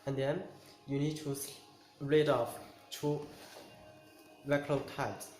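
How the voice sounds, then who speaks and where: A man narrating a step-by-step tutorial in short, simple, halting instructions. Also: mid-range voice, steady tone, over music. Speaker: tutorial presenter. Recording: echoey room, clear noise, clicks.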